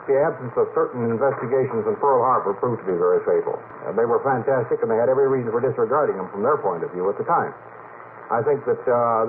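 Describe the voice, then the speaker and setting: Measured male debater on noisy, tinny radio. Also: mid-range voice. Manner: argumentative, measured, formal wording. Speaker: radio panel guest, science fiction writer. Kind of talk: unscripted panel debate. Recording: noisy narrow-band vintage radio recording. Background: clear noise.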